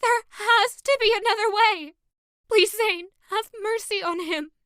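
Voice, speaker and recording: A woman's voice: very high-pitched, a little slow, pushed and bright, dry recording, studio quality, heavily acted. A woman playing a timid, anxious young lady of the royal court in an audio drama, nervous and pleading. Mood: desperate pleading.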